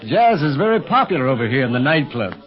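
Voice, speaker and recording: A man's voice: mid-range voice, big pitch swings, fast, lightly acted. A man playing a genial secret agent and uncle in a 1930s radio adventure serial. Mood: amused. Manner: amused, chuckling, teasing. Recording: dull old radio recording with music.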